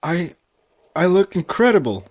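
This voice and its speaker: A man's voice: sits high, very animated, measured pace, darkish tone, breathy edge, pitched above the performer's own voice, heavily acted. Man doing an earnest young princess pony, raised voice. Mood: overjoyed.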